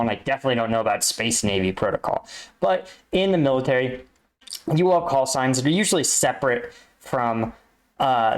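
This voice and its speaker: An American man explaining things casually as he reacts, halting and correcting himself as he thinks aloud. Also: mid-range voice. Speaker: reactor, army combat veteran.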